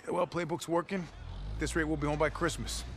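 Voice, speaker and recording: A man's voice: a little high, fairly even intonation, brisk, fairly bright tone. A man playing a young American soldier in a game, teasing his squadmates in casual, brash banter. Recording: noisy outdoor scene.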